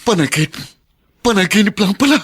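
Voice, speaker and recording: Anxious, husky-voiced father. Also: sits high, animated, fast, fairly bright tone, heavily acted. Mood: troubled. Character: anxious, devoted father of a gravely ill boy. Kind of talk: radio drama acting.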